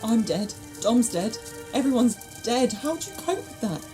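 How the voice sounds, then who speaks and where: A woman as Elisabeth, a higher-pitched, emotional companion. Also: lively intonation, normal speed, over music, close to an everyday voice. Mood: grieving, questioning. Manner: emotional, exasperated, earnest. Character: Elisabeth, plain-spoken, anxious young woman companion. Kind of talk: audio drama acting.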